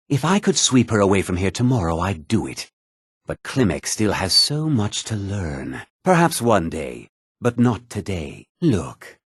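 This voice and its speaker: Haughty, husky-voiced fantasy townsman. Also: low voice, dry recording, studio quality, heavily acted. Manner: condescending, curt, sneering. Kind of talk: fantasy video game character lines.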